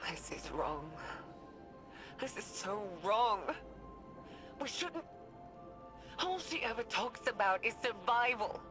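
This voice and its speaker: An actress playing an anguished, guilt-ridden woman scientist dictating research logs in a measured, hesitant, breathy way, as in a dramatic video game. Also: mid-range voice. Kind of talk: dramatic video game voice acting, recorded log. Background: music.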